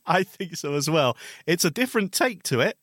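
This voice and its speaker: Male co-host, bright and higher pitched, lively teasing banter, dry close recording. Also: brisk, no echo. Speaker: podcast co-host. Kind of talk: casual tech podcast chat.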